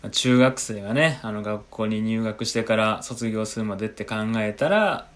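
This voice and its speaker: A man's voice: lowish voice, normal speed, fairly bright tone. A man, a podcast co-host explaining things politely and matter-of-factly in a comic skit. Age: late 20s. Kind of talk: radio-drama style comic skit dialogue.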